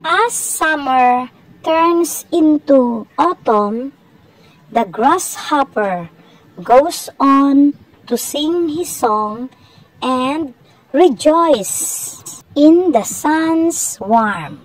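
High-voiced woman reading very slowly. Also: animated, rough recording. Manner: deliberate, broken into short phrases. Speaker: narrator. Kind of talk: slow scripted storybook narration.

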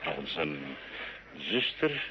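An actor playing a bitter, hard-drinking friar in an old radio drama, gruff and guarded. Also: male voice, a bass voice, sing-song, drawn-out words, noisy background, heavily acted. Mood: bitter, confiding. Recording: dull old radio recording.

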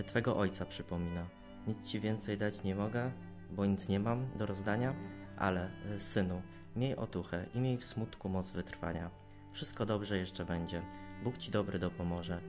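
A man playing an old, dying miller, a gentle and pious father speaking solemn, consoling verse in an audio drama. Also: fairly low pitch, natural voice. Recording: music behind the voice.

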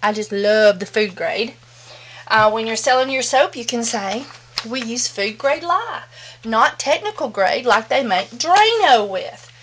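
A middle-aged woman with a Southern US accent gives a chatty, folksy soapmaking demonstration in her home soap room. Her voice sits high, and she talks warmly and plainly to her viewers, as if they were sitting beside her. She is picked up by the camera mic rather than a mic clipped close to her. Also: animated, measured pace, a little hiss or hum. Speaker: soapmaking instructor.

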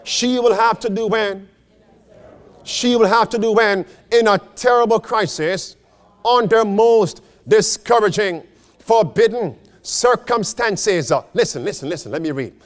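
A man's voice: sits high, a little slow. A man preaching a sermon as a pastor, repeating phrases for emphasis and putting questions to his listeners. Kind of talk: sermon with scripture and quotations read aloud.

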